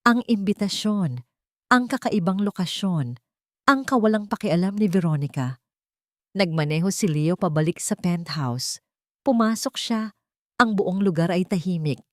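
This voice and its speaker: A woman's voice: lowish voice, expressive, moderate pace, long pauses, no echo, studio quality. A woman narrating a scripted romantic drama story in a dramatic storytelling style.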